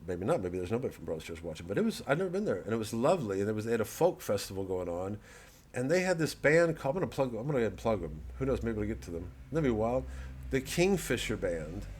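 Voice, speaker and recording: A man who is a comedian, talking casually to his audience in a halting, digressive monologue with repeated words. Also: on the low side, very animated, darkish tone, slightly rough. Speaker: comedian, host.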